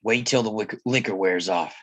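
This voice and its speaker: A man playing a weary, cautious Old West lawman in a screenplay table read, speaking haltingly and plainly. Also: lowish voice, fairly bright tone. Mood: cautious, dismissive.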